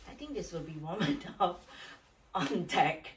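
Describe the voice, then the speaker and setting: A woman's voice: fairly low pitch, animated, unhurried. A woman from Malaysia talking casually and plainly through things step by step, as a solo travel vlogger. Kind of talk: casual packing vlog. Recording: distant mic, slight background noise.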